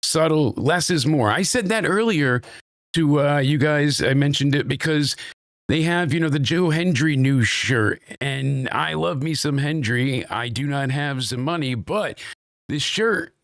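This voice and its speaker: A man's voice: on the high side, unhurried, no echo, studio quality. A man hosting a wrestling review show in casual chat, rambling and opinionated with lots of restarts, his voice stuffed up and worn.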